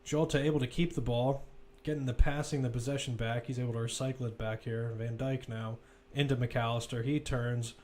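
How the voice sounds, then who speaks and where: A man's voice: mid-range voice, fairly even intonation, fairly quick, few pauses, a little mellow, a little hiss or hum. Man calling live football play-by-play. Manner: running present-tense description in clipped phrases. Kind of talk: live sports play-by-play commentary.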